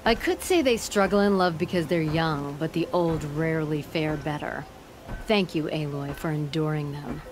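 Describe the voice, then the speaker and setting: A woman's voice: deep voice, normal speed, music in the background, close to an everyday voice. An actress playing a seasoned, middle-aged woman leader in a video game, wry and assured. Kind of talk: video game dialogue acting.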